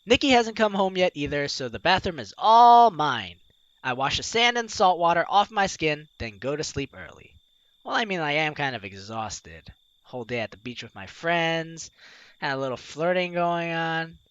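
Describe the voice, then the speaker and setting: Animated, high-pitched, bright-voiced man hosting a let's-play. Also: moderate pace, clean tone, dry recording, highs cut off. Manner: playful, teasing, exclamatory, talks to the audience. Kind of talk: reading game text aloud with excited commentary.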